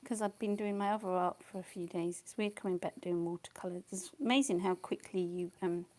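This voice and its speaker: A woman's voice: on the low side, fairly quick, mellow. A woman losing her voice, teaching watercolour painting live in a casual, rambling way and often muttering to herself as she works. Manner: chatty, rambling, thinks aloud, often mutters under her breath.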